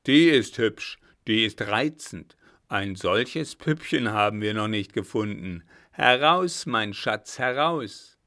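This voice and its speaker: A man voicing a rough, leering male highway robber who shouts and jeers. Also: lively intonation, takes it slowly, heavily acted. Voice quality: rough loud voice. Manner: shouting, jeering.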